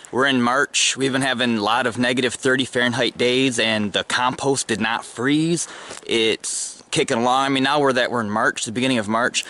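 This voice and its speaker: An American man giving casual, halting commentary as he thinks aloud, recorded outdoors on a handheld camera. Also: medium pitch, pushed and bright. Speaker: gardening vlogger. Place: outdoors in a snowy fenced backyard. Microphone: handheld camera at arm's length. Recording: clear outdoor background noise.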